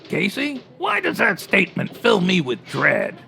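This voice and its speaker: An excitable newspaperman , acted by a man, with a very creaky, high voice that leaps about. He is fretful and punchy, in 1940s radio crime style, over faint music. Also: measured pace, exaggerated voice. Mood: eager. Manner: animated, punchy period slang. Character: excitable, fretful old-time newspaperman sidekick.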